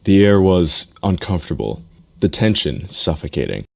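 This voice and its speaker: A man playing a calm storybook narrator, reading scripted scene-setting for a game cutscene. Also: low-pitched, normal speed, gravelly, heavily acted. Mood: measured. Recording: faint background noise and ambience.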